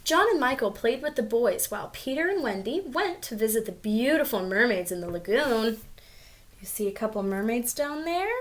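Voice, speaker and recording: A woman's voice: on the high side, very animated, moderate pace. A woman reading a storybook aloud to young children, expressively, with little asides about the pictures. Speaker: storybook reader.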